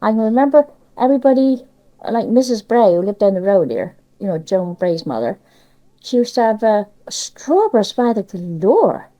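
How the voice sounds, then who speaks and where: A woman's voice: medium pitch, animated, moderate pace, dark tone, poor audio. An older woman from Cornwall with a Cornish accent, chatting casually about her memories in dialect with lots of tag questions. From Cornwall, England. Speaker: interviewee sharing local memories. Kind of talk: casual reminiscing chat.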